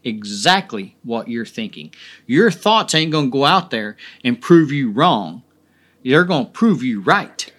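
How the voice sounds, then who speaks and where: A man's voice: medium pitch, big pitch swings, measured pace, fairly bright tone. Profane, rambling male podcast host. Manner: profane, rambling, emphatic with many restarts. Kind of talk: casual solo podcast monologue.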